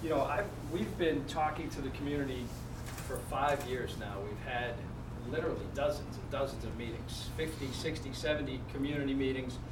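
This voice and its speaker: A man's voice: medium pitch, fairly quick. A man answering reporters' questions at a press conference, hesitant, with many filler words. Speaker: casino proposal executive answering press. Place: crowded press conference room. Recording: press conference with crowd noise.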